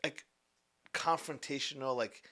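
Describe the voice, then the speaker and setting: A man's voice: medium pitch, measured pace, pushed and bright. A man in his twenties, a business founder talking as a podcast guest, earnest and rambling with many restarts. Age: 20s. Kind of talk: casual podcast conversation.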